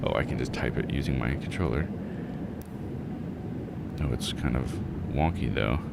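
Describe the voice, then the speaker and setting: Low-voiced man streaming a game. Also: normal speed, gravelly, heavy background noise. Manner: thinks aloud, informal slang. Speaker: livestream host playing a video game. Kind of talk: casual gaming stream commentary.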